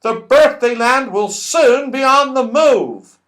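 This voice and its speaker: A man's voice: very high voice, slow pace, fairly bright tone, pitched above the performer's own voice, heavily acted. A man voicing a bustling, cheerful male fairy-folk character with a hearty, exclaiming manner in a children's storybook. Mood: urgent, calling out.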